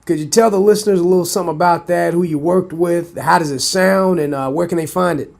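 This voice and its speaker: A man hosting a podcast interview, a little hoarse from a cold, with a scratchy voice. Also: sits high, fast. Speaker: podcast host.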